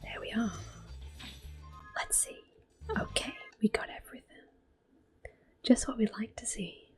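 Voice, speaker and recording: A woman hosts an ASMR playthrough in a soft, breathy half-whisper. She gently talks through each move at a slow, unhurried pace. Faint game music plays behind her voice. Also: on the high side, huge pitch swings. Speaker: game streamer, host. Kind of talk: ASMR gameplay commentary.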